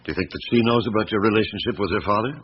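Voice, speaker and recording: An actor with a British accent playing a shrewd, patient middle-aged police inspector in a radio drama, asking blunt questions with hesitant pauses. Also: male voice, fairly low pitch, lightly acted. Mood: musing, patient. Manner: probing, laconic, hesitant pauses. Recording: muffled radio recording with background hiss.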